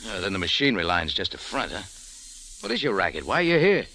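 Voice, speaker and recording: Punchy American private eye. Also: male voice, on the low side, wildly swooping pitch, fast talker, bright, forceful tone, exaggerated voice. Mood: suspicious, challenging. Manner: hard-boiled, clipped, insistent. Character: tough American private investigator narrating his story. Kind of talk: old-time radio detective narration and acting. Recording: hissy old radio recording.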